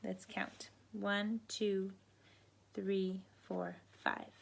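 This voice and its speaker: A woman reading picture books aloud to children in a teacherly way, pausing to prompt listeners with questions. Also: medium pitch, flat delivery, creaky, a little hiss or hum. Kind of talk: read-aloud storybook narration with counting asides.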